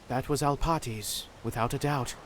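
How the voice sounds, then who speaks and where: An actor playing a courteous, composed, analytical male detective in a video game, with formal, deliberate phrasing. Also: mid-range voice, noisy background, lightly acted. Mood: grave, pondering.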